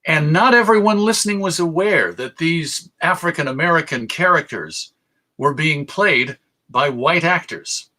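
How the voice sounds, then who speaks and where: A man presenting a history lecture in a measured, deliberate way, pausing for emphasis. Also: on the high side, expressive, sharp tone.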